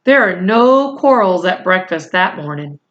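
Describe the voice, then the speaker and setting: A woman reading a children's novel aloud in a deliberate, measured storytelling manner. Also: fairly low pitch, animated, fairly bright tone, muffled recording. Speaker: storybook reader.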